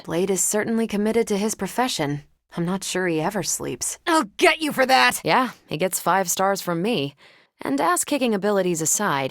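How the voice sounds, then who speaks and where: A woman's voice: fairly low pitch, no echo, clean studio sound. A woman playing a confident, wry female superhero soldier in a video game, breezy and assured in her delivery. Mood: assured, casual, then combative, shouting. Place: dry studio recording.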